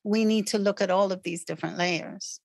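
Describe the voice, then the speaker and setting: A woman guest on a podcast, explaining ideas in an animated way and often checking in with "right?" and "okay.". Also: medium pitch, fairly quick, vocal fry, no room sound. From North America. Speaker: guest expert on patterns.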